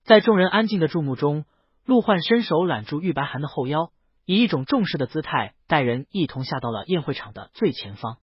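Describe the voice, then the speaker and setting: A man narrates fiction dramatically, his fairly high voice swinging widely in pitch. The sound is very dry and slightly dull, and the voice has a husky edge. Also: expressive, fairly quick, dry recording. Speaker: audiobook narrator. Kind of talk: dramatic scripted story narration.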